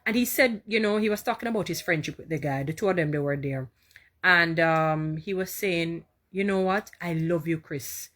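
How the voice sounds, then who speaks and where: Jamaican woman, lowish voice, casual host of a live stream, warm and rambling. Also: moderate pace. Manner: warm, chatty, repeats herself, reads and answers viewer comments. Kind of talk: casual inspirational live talk.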